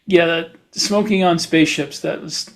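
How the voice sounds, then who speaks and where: A middle-aged man co-hosting a podcast in casual chat, halting as he thinks aloud. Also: on the high side, steady tone. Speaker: podcast co-host, science fiction author.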